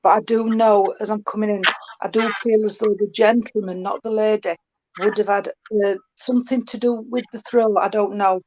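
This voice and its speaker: A woman works as a medium, speaking in a tender, endearing way and sprinkling darling and sweetheart through her reading. Her voice sits at an ordinary middle pitch. It comes through a dull, lo-fi online stream with a faint hiss behind it. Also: steady tone, moderate pace, a little mellow. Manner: affectionate, calls the sitter darling and sweetheart. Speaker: spiritualist medium.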